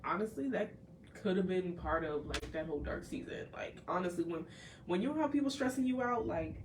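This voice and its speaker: A woman's voice: fairly low pitch, lively intonation, a little fast, a little hiss or hum. Congested young woman chatting, a bit distant. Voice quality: nasal. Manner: chatty, restarts often, earnest. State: congested from a cold. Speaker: host. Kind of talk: casual talk to a live audience. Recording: a little distant from the mic, faint background ambience.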